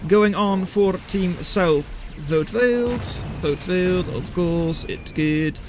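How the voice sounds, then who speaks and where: Male streamer, fairly high voice, dry live replay commentary, faint battle sounds behind. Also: slow, background noise. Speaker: game caster, streamer. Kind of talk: live esports-style replay commentary, chatting with viewers.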